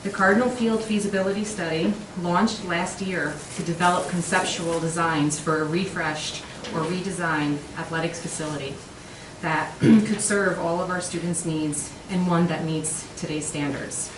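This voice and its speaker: A woman giving a formal, measured project update at a school board meeting. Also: lowish voice, fairly even intonation. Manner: measured, read from prepared notes. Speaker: project lead presenting an update. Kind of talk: formal presentation. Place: meeting room. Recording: echoey room from far off, noisy.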